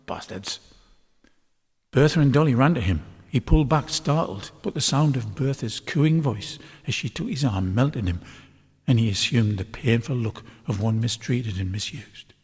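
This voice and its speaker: A man reading a story aloud as scripted narration, in a measured, dramatic literary manner. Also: medium pitch, a little mellow, husky. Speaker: author reading a novel chapter aloud. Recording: long hall-like reverb.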